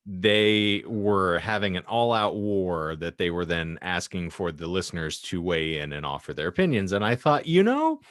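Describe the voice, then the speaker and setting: A man's voice: low-pitched, expressive, normal speed, few pauses, no room sound, studio quality. A man hosting a casual podcast, chatting in a wordy, mock-formal way with elaborate vocabulary. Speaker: podcast host.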